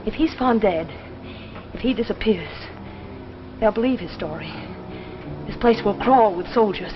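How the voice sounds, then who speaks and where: A woman's voice: medium pitch, lively intonation, unhurried, music in the background, natural voice. An actress playing an earnest, urgent female alien agent in a theatrical 1950s television drama. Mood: urgent, insistent. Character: earnest female alien agent pleading to save her people. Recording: muffled, noisy 1950s TV recording.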